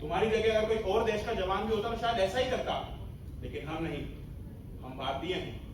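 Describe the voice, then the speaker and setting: A man's voice: high-pitched, fairly quick, close to an everyday voice. Man acting a commanding army major, echoey hall. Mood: calm, firm. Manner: authoritative, emphatic, mixes in English orders. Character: commanding, principled Indian army major guarding a prisoner. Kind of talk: theatrical Hindi stage acting. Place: stage of a hall at a student festival. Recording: echoey hall, far mic, faint noise.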